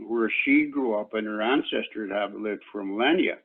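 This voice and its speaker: An older man whose first language is Cree, an Elder from Canada, giving a reflective talk to an online panel in a slow, measured way with many pauses. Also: lowish voice. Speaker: Elder and invited speaker. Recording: tinny phone-like call audio.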